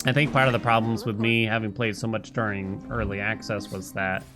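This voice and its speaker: A man's voice: fairly low pitch, little pitch movement, a little fast. A man livestreaming a video game, musing aloud and chatting casually with his viewers in a conversational way. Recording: game music behind the voice.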